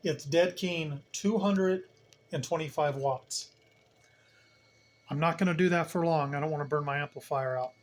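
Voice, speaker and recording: A man giving an unscripted hands-on equipment tutorial, plain-spoken and explaining step by step, repeating key points. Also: fairly high pitch. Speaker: hobbyist demonstrator, channel host.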